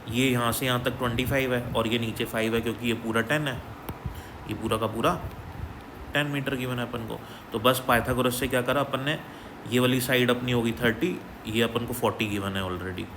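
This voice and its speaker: A man's voice: mid-range voice, fairly even intonation, normal speed, background noise. Man explaining a physics exam problem. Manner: explanatory, repeats "ठीक है" as a check-in. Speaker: physics teacher.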